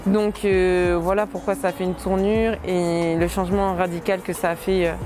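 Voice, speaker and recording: A woman in her late twenties, a dancer, talking casually and hesitantly in an interview, with many fillers and soft laughs. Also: on the low side, flat delivery, moderate pace, over music. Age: late 20s.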